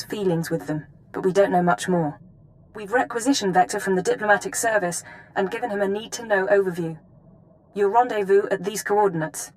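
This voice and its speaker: A woman playing a composed imperial intelligence handler in a video game, speaking in a crisp, formal, measured briefing manner. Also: mid-range voice, slight background noise, natural voice, poor audio.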